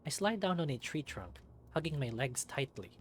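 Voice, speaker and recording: A man reading a visual novel aloud as narrator, in an expressive, dramatic storybook style. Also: on the high side, moderate pace.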